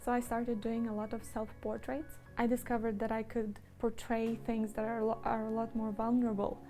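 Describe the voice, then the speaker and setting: A woman's voice: on the high side, little pitch movement, moderate pace, mellow. A woman from Moldova, a painter, speaking in a thoughtful, introspective interview monologue. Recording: faint music behind.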